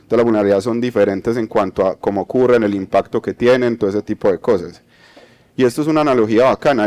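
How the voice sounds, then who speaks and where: A man's voice: lowish voice, a little mellow. A man from Colombia with a Medellín accent, giving an explanatory conference talk, checking in with the audience as he goes. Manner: explanatory, many fillers and restarts, tag questions. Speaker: conference speaker.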